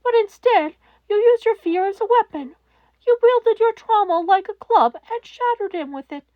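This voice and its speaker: Woman voicing a high-pitched, cold, stern old mouse principal. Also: expressive, no room sound, narrow, tinny audio. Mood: furious. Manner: polite, cutting, deliberate accusation. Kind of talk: dramatic audiobook-style fan fiction character reading.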